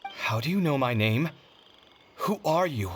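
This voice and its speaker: A man's voice: medium pitch, animated, unhurried, a little hiss or hum, close to an everyday voice. An actor playing an earnest, bewildered young man in a game, agitated and questioning. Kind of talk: dramatic game voice acting.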